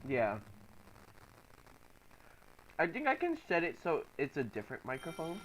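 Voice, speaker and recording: A young man casually chatting while streaming a game from home, reacting out loud to the game and to chat. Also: a little high, wildly swooping pitch, measured pace, a little hiss or hum, rough recording. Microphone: laptop microphone.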